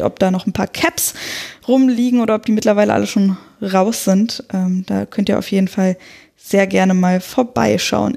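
A woman's voice: medium pitch, creaky, a little mellow. A woman from Berlin hosting a football podcast, chatty and loose, correcting herself as she talks. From Berlin, Germany. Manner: chatty, with fillers and self-corrections. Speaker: podcast host.

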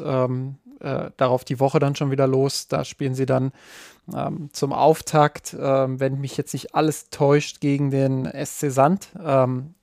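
A man's voice: medium pitch, vocal fry, darkish tone, no echo, studio quality. A man co-hosting a football podcast in casual chat, hesitant and full of fillers, often repeating words as he goes. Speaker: podcast co-host.

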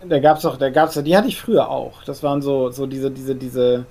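A man's voice: mid-range voice, steady tone, normal speed, darkish tone, close to an everyday voice. A man playing a nervous, perfectionist vampire in a role-play audio drama, stammering and repeating words in a formal, earnest way. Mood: hesitant instructing.

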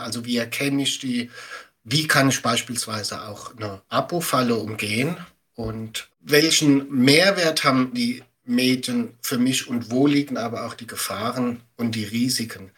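A man's voice: mid-range voice, sharp tone, breathy edge. A man, middle-aged, explaining and giving earnest, emphatic advice as an expert guest in a podcast interview. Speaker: guest media literacy educator.

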